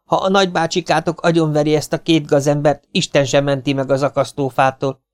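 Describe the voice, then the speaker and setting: A man voicing a fiery, bossy middle-aged housewife who scolds in a shrill voice. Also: level tone, close to an everyday voice. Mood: scolding.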